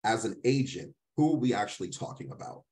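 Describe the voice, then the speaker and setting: A man's voice: fairly low pitch, animated, a little fast, darkish tone. A man facilitating an interactive workshop, affirming participants and often checking in as he explains.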